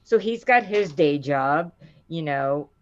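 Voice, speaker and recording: Woman, low deep voice, middle-aged, animated storyteller, dull lo-fi sound. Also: takes it slowly. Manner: animated storyteller, acts out quotes with rising pitch. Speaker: voice actor guest. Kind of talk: casual interview chat.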